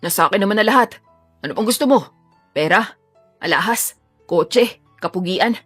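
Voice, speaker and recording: A woman voicing a menacing, obsessive male attacker in an audio drama, taunting, bitter and forceful. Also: medium pitch, lively intonation, moderate pace, slightly rough, soft background music. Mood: pleading, bitter.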